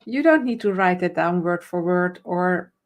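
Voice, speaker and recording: A woman's voice: on the low side, animated, moderate pace. A woman with a Dutch accent, a confidence trainer and host, giving friendly coaching tips in a live conversation, explaining with many fillers and restarts. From Netherlands.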